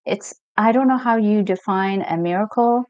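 A woman's voice: medium pitch, normal speed, no echo. Chatty woman on dull, dry stream audio. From Ethiopia. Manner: relaxed, chatty, reads viewer comments aloud with many fillers. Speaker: mental health coach. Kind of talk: live stream Q&A.

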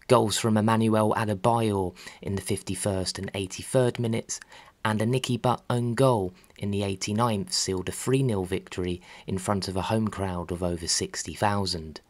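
Male narrator, fairly low pitch, scripted sports-history read, measured and factual. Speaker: narrator of a football history channel.

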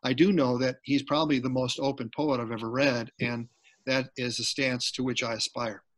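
A poet telling stories about his path to poetry in a lively, chatty way, heard over a video call. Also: mid-range voice, moderate pace. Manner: animated, chatty, laughs while telling stories. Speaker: poet, featured guest. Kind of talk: conversational storytelling on a video call.

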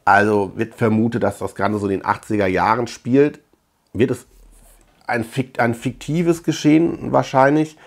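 A middle-aged man with a mid-range voice talks casually to the camera as the host of a film review. His delivery is halting, and he often searches for the right word. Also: medium pitch, fairly even intonation, gravelly. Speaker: host of a film collection channel. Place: small room lined with shelves of collectibles.

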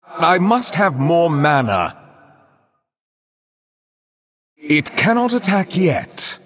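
An actor playing a haughty, sadistic vampiric lord in a video game, with theatrical, pompous, aristocratic delivery. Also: male voice, on the high side, full character voice. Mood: irritated. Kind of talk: video game character voice lines.

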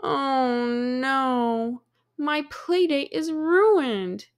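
A woman voicing a busy, excitable little girl in a storybook, dramatic and exclamatory, stretching out her words. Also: high-pitched, extremely slow, smooth, exaggerated voice. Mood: dismayed.

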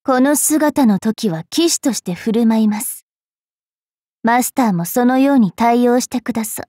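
A woman voicing a bookish, sharp-tongued young fairy witch knight in an anime mobile game, switching between prim politeness and rough taunts. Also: fairly high pitch, normal speed, no echo, studio quality, close to an everyday voice. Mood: proud, resolute.

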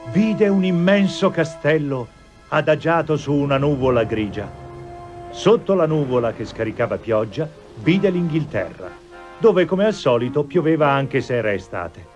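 A man's voice: fairly high pitch, very animated, unhurried. A man narrating a children's cartoon fairy tale in a scripted, theatrical storytelling manner. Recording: dull lo-fi TV soundtrack with music. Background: music.

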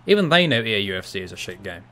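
A young man doing casual, chatty live commentary on a fighting game from a small room at home, shouting out reactions and laughing with viewers. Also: on the low side, sing-song, rapid-fire. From half Cypriot. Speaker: gaming streamer.

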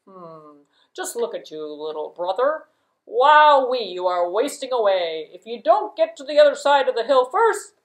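A woman voicing a bossy bigger goat in a puppet show, speaking in an emphatic, drawn-out way. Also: on the high side, voice pushed up, rough recording. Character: bossy bigger goat goading little brother.

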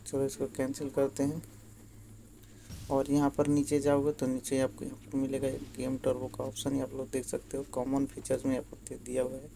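A man's voice: medium pitch, monotone, fairly quick, vocal fry, dark tone, a little hiss or hum, poor audio. A man giving a casual, instructional how-to voice-over, addressing viewers directly and repeating himself. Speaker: tutorial video host. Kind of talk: casual how-to narration over screen recording.

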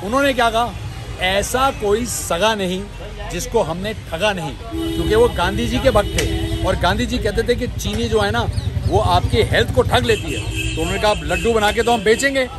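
A middle-aged man co-hosting a food vlog, telling stories in an animated, emphatic way on an outdoor street. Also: high-pitched, moderate pace, music in the background. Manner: animated storyteller, explains with emphasis. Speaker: food show co-host. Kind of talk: casual storytelling in a food vlog. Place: busy street market outdoors. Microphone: phone camera at close range.

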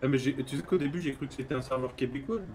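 A man's voice: mid-range voice, very fast, a little mellow, a little hiss or hum. A man chatting casually and informally with a gaming friend. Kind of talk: casual chat among gamers.

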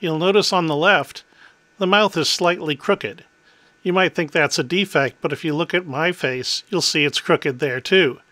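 Bright, methodical tech-demo host, a man. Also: a little high, normal speed, no echo. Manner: clear, methodical explaining. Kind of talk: explanatory tech demo narration.

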